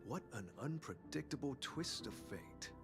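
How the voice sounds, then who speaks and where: An actor playing a polite, composed gravekeeper in a video game, speaking in measured, formal phrases. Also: male voice, mid-range voice, expressive, gravelly. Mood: courteous, calm. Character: courteous, composed male gravekeeper of a dream refuge. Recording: loud game music behind.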